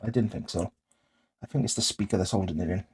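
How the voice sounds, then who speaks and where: A man's voice: lowish voice, quick pace, darkish tone, husky. A man talking casually to himself in short, tentative phrases as he narrates hands-on repair work. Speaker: host of a DIY repair channel. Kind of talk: casual hands-on repair commentary, thinking aloud.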